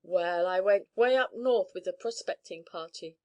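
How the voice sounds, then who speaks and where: Woman reading a cheery young guy back from the goldfields, sober and warm-hearted. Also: lowish voice, normal speed, close to an everyday voice. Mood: cheerful. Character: hearty young man home from prospecting.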